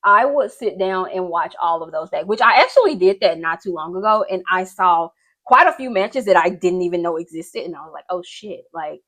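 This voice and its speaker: A woman's voice: lowish voice, lively intonation, brisk, a bit bright. A young woman vlogger chatting casually and gushing in informal slang while she does her makeup. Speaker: beauty and wrestling vlogger.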